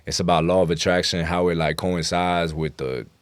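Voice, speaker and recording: A man's voice: low-pitched, steady tone, normal speed, clear, resonant tone, no echo. A man chatting casually as an interview guest, explaining things earnestly in street slang. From New York City.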